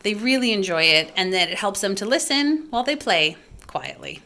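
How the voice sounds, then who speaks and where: A woman with a bright, forward voice at an average pitch talks casually to fellow parents. She hosts a homeschool vlog and gives recommendations in an encouraging way. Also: sing-song, moderate pace. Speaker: homeschool video host and parent.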